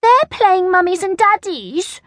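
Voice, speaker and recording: Woman as stubborn little girl, high trilling voice, insistent, eager, storybook character. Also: wildly swooping pitch, slow pace, no echo, clean studio sound, heavily acted. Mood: stubborn, defiant. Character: stubborn, lovestruck little girl wanting to join in.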